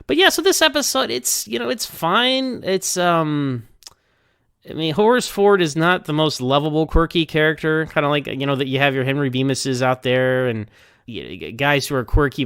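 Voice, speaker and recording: Man, mid-range clear voice, for informal episode commentary; conversational, meandering delivery, very dry sound. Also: on the high side, fairly bright tone, no room sound. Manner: conversational, hedging, thinking aloud. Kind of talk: casual rambling TV episode review.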